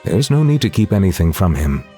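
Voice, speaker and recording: An actor playing a composed chief judge in a video game, speaking in measured, courteous and formal phrases. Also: male voice, low-pitched, sing-song, over music.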